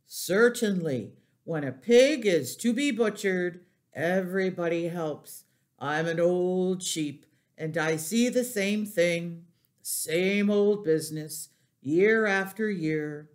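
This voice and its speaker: A woman's voice: fairly low pitch, expressive, slow. A woman voicing a world-weary old ewe in a storybook, blunt and snappish. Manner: matter-of-fact, snappish.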